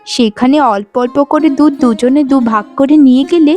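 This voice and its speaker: A woman's voice: high-pitched, moderate pace, dark tone, soft background music, close to an everyday voice. A woman voicing an earnest, hardworking young village wife in a cartoon, persuading politely and reassuringly. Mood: earnest, hopeful. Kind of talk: Bengali moral-story cartoon voice acting.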